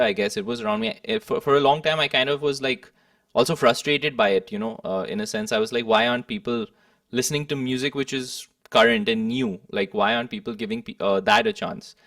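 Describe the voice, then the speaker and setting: A man's voice: medium pitch, sing-song, a little fast, creaky, a bit bright, clean studio sound. A man from India with an Indian accent talks casually and reflectively as an interviewed musician, discursive and thoughtful, often adding "like" and "you know". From Kolkata, India. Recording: roomy, slightly distant microphone.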